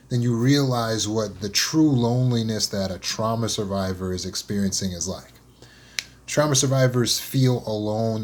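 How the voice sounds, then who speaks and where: A man gives an unscripted motivational talk in a voice of ordinary middle pitch. He speaks earnestly and often repeats words as he searches for the next thought. He slows down to lean on key phrases, then speeds up through lists. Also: darkish tone, husky.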